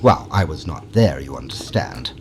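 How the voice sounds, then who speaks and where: A man's voice: very deep voice, sing-song, normal speed, vocal fry, a little hiss or hum, heavily acted. A man playing an eccentric, secretive alien scientist in an audio drama, with a fussy, theatrical, conspiratorial way of talking. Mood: secretive, marvelling. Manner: theatrical, fussy, scolding, dropping into conspiratorial hushes. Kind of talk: audio drama character acting.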